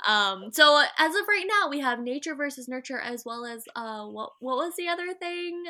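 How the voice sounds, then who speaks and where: A young woman from the Midwest with a higher voice, co-hosting a podcast and musing at length in casual chat. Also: expressive, pushed and bright. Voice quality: higher-pitched voice. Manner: rambling, thoughtful, quick to laugh. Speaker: podcast co-host.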